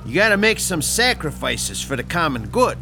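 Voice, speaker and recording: An actor playing a menacing 1930s Chicago mob boss with a gruff, sardonic drawl and a Chicago accent, in an audio drama. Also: male voice, fairly high pitch, big pitch swings, normal speed, bright, forceful tone, a little hiss or hum, exaggerated voice.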